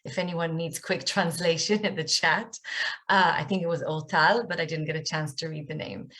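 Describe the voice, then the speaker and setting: A low-voiced Israeli woman in her forties speaks over a video call. She tells a personal story candidly, with plenty of ums along the way, and her voice sits deep for a woman. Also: steady tone, moderate pace, a bit bright. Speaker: guest speaker.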